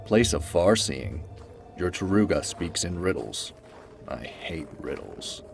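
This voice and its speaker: Man playing deep, aloof ice warrior. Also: takes it slowly, gravelly, echoey room, heavily acted. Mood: stern. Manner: terse, stern, deadpan. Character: cold aloof brooding ice warrior hero. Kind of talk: dramatic animated narration and dialogue. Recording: music behind the voice.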